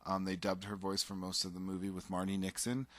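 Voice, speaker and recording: A man's voice: low voice, completely flat delivery, a little fast, a bit bright, no room sound. A man co-hosting a casual chat show, talking enthusiastically and rambling with fillers and restarts. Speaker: podcast co-host.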